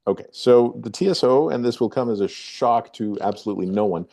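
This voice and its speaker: A man's voice: mid-range voice, fairly quick, creaky, dark tone. A man hosting a webinar, introducing each guest with a playful game-show flourish. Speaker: webinar host. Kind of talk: moderating a webinar.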